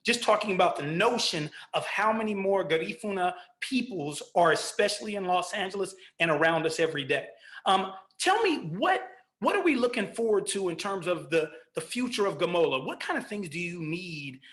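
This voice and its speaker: A middle-aged man with a notably high voice moderates a community panel, speaking in an animated, storytelling way. He often restarts his phrases as he builds toward his questions, like a college lecturer talking to an audience. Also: moderate pace. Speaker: panel host and college history instructor.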